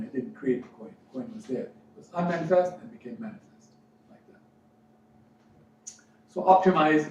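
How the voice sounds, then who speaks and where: A man from Fiji, educated in New Zealand, gives a motivational spiritual talk as a coach, speaking deliberately with emphatic repetition. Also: on the high side, darkish tone. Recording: very echoey room, distant mic, dull sound, faint noise.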